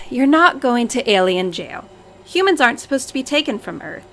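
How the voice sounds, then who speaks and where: Woman as a high, bright, motherly alien boss. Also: big pitch swings, normal speed, exaggerated voice. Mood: exasperated. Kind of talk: comedic audio drama acting.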